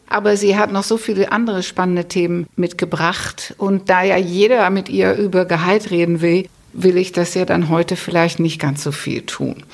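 Chatty podcast host woman with gravelly voice. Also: medium pitch. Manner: chatty, enthusiastic. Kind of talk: casual interview hosting. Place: new podcast studio with some reverb.

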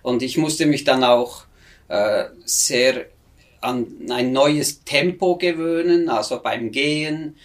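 A middle-aged man from central Switzerland with a Swiss German accent, calmly telling his story as a missionary guest in a studio podcast interview. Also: mid-range voice. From central Switzerland, near Bern. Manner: calm, unhurried storytelling with fillers.

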